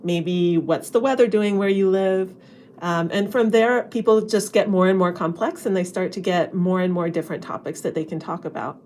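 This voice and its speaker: A woman's voice: fairly low pitch, normal speed, a little mellow. Chatty woman professor explaining. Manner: chatty, with fillers. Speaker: linguistics professor. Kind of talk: conversational explanation.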